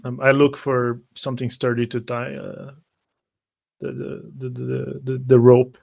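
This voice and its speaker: A man's voice: medium pitch, flat delivery, measured pace, creaky, a little mellow. A man playing a tabletop roleplay game, narrating his actions in first person and often repeating words hesitantly.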